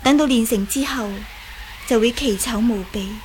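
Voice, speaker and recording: A woman's voice: a little high, moderate pace, a little mellow, close to an everyday voice. An actress playing a bitter, defiant young martial arts woman in a Cantonese radio drama. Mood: proud, bitter. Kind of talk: Cantonese audio drama acting. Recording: faint background noise.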